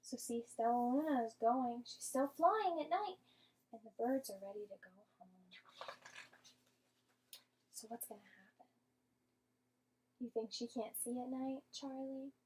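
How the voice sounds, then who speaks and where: A woman teacher giving an interactive storybook read-aloud to young children, expressive and patient, often asking and explaining. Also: high voice, unhurried, pauses often, slight background noise, low-quality recording.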